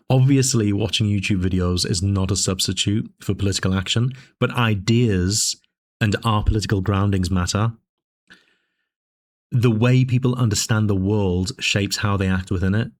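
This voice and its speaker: A man with a fairly low voice talks through his arguments in a measured, discursive way. He often pauses on fillers and restarts his phrases. His voice sounds a little dry as he speaks to his channel's viewers. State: dry voice, sipping tea. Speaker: political commentary channel host. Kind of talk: casual spoken commentary.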